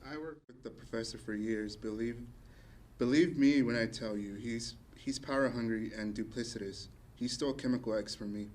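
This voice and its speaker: A man's voice: mid-range voice, moderate pace, darkish tone, lightly acted. A man voicing a bitter, suspicious scientist rival in a comedic table read. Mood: aggrieved, accusing.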